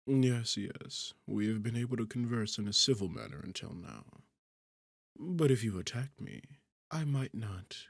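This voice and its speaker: Man playing a seductive demon king, slow deliberate taunts, gravelly fry, sarcastic and domineering, close dry ASMR roleplay sound. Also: on the low side, fairly bright tone, no room sound, heavily acted. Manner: taunting, condescending, theatrical, slow deliberate phrasing. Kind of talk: ASMR roleplay acting addressed to the listener.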